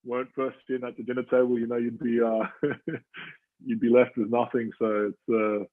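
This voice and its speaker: A thirty-year-old Australian man, a rugby player, talking as an interview guest in a halting way with plenty of fillers. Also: mid-range voice, little pitch movement. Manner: halting, filler-heavy storytelling. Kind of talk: casual interview chat. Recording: tinny phone-like line.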